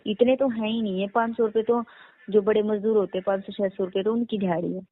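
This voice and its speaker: A woman's voice: medium pitch, fairly even intonation, fast talker. A young woman chats casually on a phone call, often checking for agreement. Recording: narrowband phone line, faint noise.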